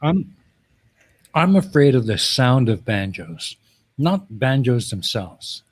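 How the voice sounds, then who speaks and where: A man's voice: medium pitch, big pitch swings, slow pace, slightly rough. A man born in the Netherlands who joins the casual chat with dry, wry humor.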